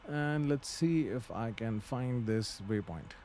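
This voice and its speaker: A man's voice: medium pitch, expressive, slow, a little mellow, a little hiss or hum. A man giving live flight simulator commentary and pilot radio readbacks, hesitant and thinking aloud. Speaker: flight simulator livestream host.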